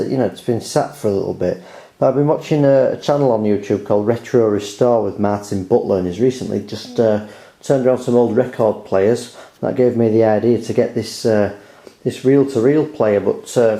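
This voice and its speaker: A man's voice: on the low side, warm tone. A British man giving a casual, unscripted hands-on demonstration, rambling a little and often repeating words as he goes. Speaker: video host showing a repair. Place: indoors at home. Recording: roomy, echoing indoor home recording.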